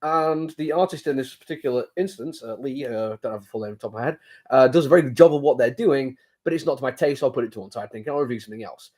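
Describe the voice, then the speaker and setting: A man's voice: medium pitch, fast, darkish tone. A man with a cold and a sore throat, casually reviewing a comic book. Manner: rambling, hesitant with many fillers. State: has a cold, sore throat, blocked sinuses.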